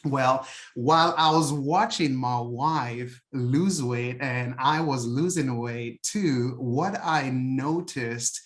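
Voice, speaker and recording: A middle-aged man with a Nigerian accent, a pastor sharing a personal testimony in an earnest, halting way with many fillers. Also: mid-range voice. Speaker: outreach pastor and health coach.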